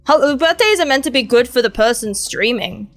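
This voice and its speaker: A woman streamer with a sore throat chats casually, rambling and swearing. Her voice sits high. Faint music plays in the background. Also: animated, normal speed. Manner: rambling, swearing, laughing chatter.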